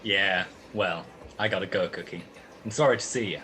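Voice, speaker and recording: Male radio-drama gumshoe, acted, deep and sharp-edged, dry wisecracks and tough slang. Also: normal speed, a little hiss or hum. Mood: dry, wry. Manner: slangy, wry, clipped tough talk. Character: wisecracking hardboiled male private detective narrating his cases. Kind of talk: 1950s radio detective drama acting.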